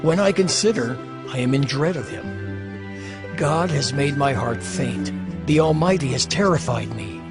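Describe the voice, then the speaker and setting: A solemn biblical sufferer, played by a man, laments in a bitter, defiant voice of middling pitch. The delivery is declamatory, in the manner of dramatized scripture, with prominent music underneath. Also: animated, normal speed, natural voice. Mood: searching, fearful.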